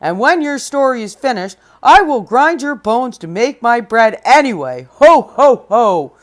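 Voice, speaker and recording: A woman voicing a big, grumpy male giant in a storybook read-aloud, speaking loud and slow. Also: a little high, very animated. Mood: menacing.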